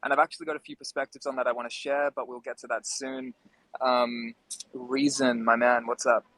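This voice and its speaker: A man's voice: mid-range voice, flat delivery, brisk, a bit bright, dry recording, poor audio. Casual male host with a dry, close sound. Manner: friendly, appreciative. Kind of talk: casual hosting of a live audio discussion.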